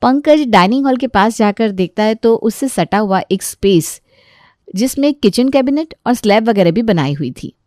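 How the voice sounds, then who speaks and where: A woman's voice: a little high, lively intonation, moderate pace, darkish tone, dry recording, clean studio sound. A woman narrating a scripted children's family story.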